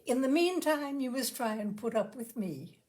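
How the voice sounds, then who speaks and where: A woman's voice: fairly high pitch, lively intonation, normal speed, a little mellow, voice pushed up, natural voice. A woman voicing a self-possessed fifteen-year-old girl from a storybook, with a poised, confiding, dramatic manner. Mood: poised, polite.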